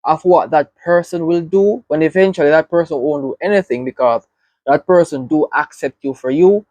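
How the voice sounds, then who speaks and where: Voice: mid-range voice, moderate pace, darkish tone, no echo. A reaction video host with a Jamaican accent, giving earnest, reflective commentary and often checking in with "right?".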